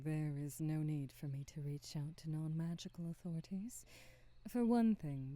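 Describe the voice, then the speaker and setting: A woman playing a kindly, centuries-old witch shopkeeper in an ASMR roleplay, gentle, motherly and wise, in a soft breathy half-whisper with soothing, measured, formal phrasing. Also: low voice, dry recording.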